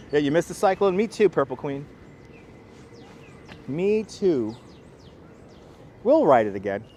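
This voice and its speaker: A man with a Brooklyn New York accent, chatting casually to live-stream viewers as a local guide, speaking clearly and deliberately. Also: on the high side, big pitch swings, darkish tone. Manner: clear, deliberate enunciation, rambling. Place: outdoors on city streets by the seaside. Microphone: wireless clip-on mic. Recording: outdoor urban street noise.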